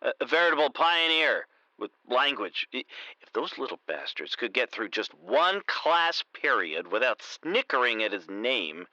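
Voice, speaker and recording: A man's voice: medium pitch, very animated, normal speed, sharp tone, no room sound, heavily acted, poor audio. An actor playing a pompous, easily flustered stage magician in his sixties, a former English teacher, in a comedy audio drama. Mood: weary, indignant. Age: 60s.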